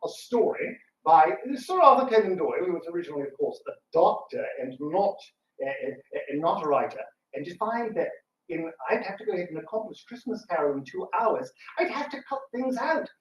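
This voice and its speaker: Animated man, distant in a roomy space. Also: high-pitched, fairly quick. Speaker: radio theatre co-founder and scriptwriter. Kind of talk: informal live talk to an audience. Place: public library room. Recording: roomy, distant mic with some noise.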